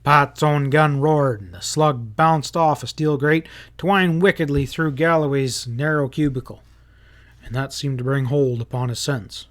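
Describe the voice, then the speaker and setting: A man's voice: on the high side, unhurried. A man reading a western novel aloud as a dramatic audiobook narration. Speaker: audiobook reader.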